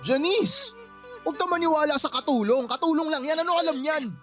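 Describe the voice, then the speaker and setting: An actor playing a lying, bullying live-in boyfriend in a Filipino radio drama, loud and accusatory. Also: male voice, extremely high pitch, expressive, fairly quick, over music. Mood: angry, defensive.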